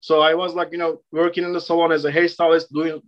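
A man's voice: on the high side, level tone, dull audio. A man in his thirties from Turkey with a Turkish accent, a hairstylist guest chatting confidently, with frequent restarts. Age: 30s. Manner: confident, self-assured, halting with repeated restarts. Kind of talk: casual interview chat.

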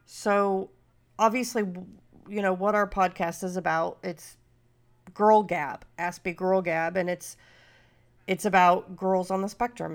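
A woman's voice: on the low side, creaky. A middle-aged woman hosting a podcast, talking casually, nasal and stuffy from a cold, rambling with many fillers. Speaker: podcast host.

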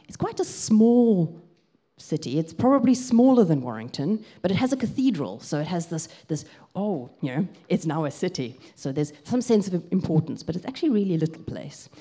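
A woman with a South African accent preaches in a low, mellow voice. Her delivery is lively, with pitch that swings widely and rises as she warms to her story. She tells it like a conversation, with frequent ums. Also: brisk, husky. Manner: lively storytelling, gets excited, lots of ums. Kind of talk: sermon with a personal story.

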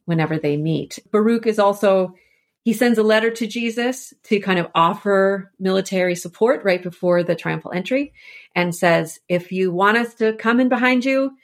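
Low-pitched woman, thoughtful podcast guest. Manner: thoughtful, explanatory, enthusiastic about the subject. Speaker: interview guest, author and editor. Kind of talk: conversational literary discussion on a podcast.

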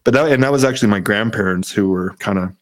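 A man's voice: on the low side, quick pace. A man hosting a casual chat, rambling and joking with lots of swearing. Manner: rambling, profane, jokey.

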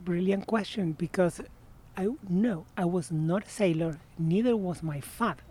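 A man in his sixties with an Argentine Spanish accent, a guest telling stories in a casual interview outdoors by a marina, animated and often trailing off to restart his phrases. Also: sits high, measured pace, slight background noise. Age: 60s.

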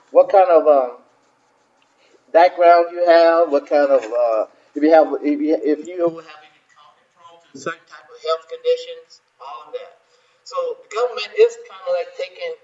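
A man's voice: fairly high pitch, dark tone, dull audio. A man, a minister and host talking casually, rambling with repeated words and many fillers. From South Carolina. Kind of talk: casual talk to listeners.

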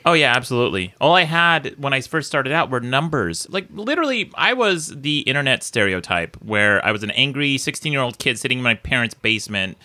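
A middle-aged man talking in a podcast chat with a bright, forceful tone. His pitch swings widely as he explains things at length, and he breaks into laughter often. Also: lively intonation, fairly quick, few pauses. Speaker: podcast producer and guest.

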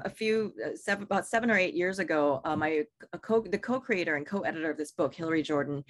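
A woman's voice: lowish voice, a bit bright. A woman from Singapore, an author and editor, talking in an interview in a chatty way with many fillers and restarts. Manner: chatty, lots of fillers and restarts, laughs easily. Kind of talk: interview conversation.

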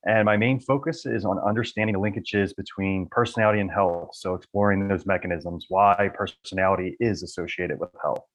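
A male academic speaks conversationally, as a guest explaining his work. His voice sits a little lower than average for a man. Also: fairly even intonation, fairly quick, hardly any pauses, rough recording. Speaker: academic researcher, associate professor. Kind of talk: conversational interview talk about research.

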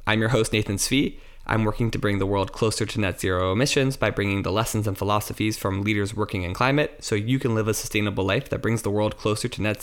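A man's voice: lowish voice, clean tone. A man hosting a podcast, eager and a bit rambling as he introduces and questions his guest. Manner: eager, rambling, laughs easily. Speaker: podcast host. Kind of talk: enthusiastic interview chat.

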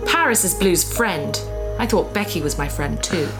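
A woman's voice: on the low side, expressive, moderate pace, music in the background, natural voice. A woman voicing an earnest, childlike female robot in an audio drama who talks about herself in the third person. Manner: refers to herself in the third person, asks blunt questions. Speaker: ship's computer in a robot body.

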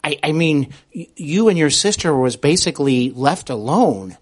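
Halting male podcast interviewer. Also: a little high, animated. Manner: hesitant, halting phrasing with repeated starts. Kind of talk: conversational interview.

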